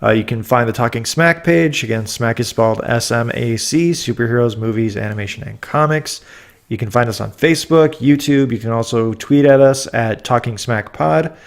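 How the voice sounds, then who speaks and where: A man's voice: mid-range voice, moderate pace, vocal fry. A man hosting a casual podcast while congested, his voice nasal and stuffed up. He rambles, doubles back and restarts his sentences as he works through his thoughts. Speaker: podcast host.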